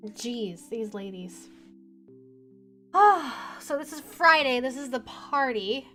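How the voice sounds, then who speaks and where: A woman streaming a horror visual novel, reading it aloud with animated commentary. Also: high-pitched, slow. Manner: animated, laughs between lines. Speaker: let's-play streamer. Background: game music.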